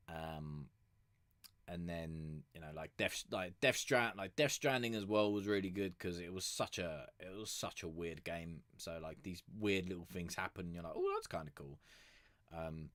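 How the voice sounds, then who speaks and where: A man with a very deep voice chats off the cuff on his music stream. He rambles and restarts, dropping fillers and the odd curse, and lifts his voice a touch above its lowest register. The sound is close and dry, with no room around it. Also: dry recording. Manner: rambling, many fillers and restarts, casual swearing; consciously keeps his voice raised above its natural depth. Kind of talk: casual chat.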